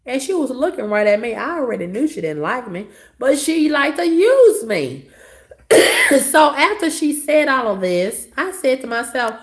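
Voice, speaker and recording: A hoarse woman with a cough, telling a story to her viewers in a blunt, profane, dismissive way. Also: high-pitched, very animated, a little slow. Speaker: vlogger.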